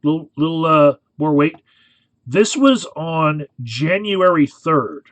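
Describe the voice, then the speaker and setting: Male video commentator, on the higher side, casual and explanatory, checks in with listeners often, very dry sound. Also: lively intonation, takes it slowly, no echo. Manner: conversational, frequently checks in with "right?". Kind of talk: casual analytical commentary comparing images.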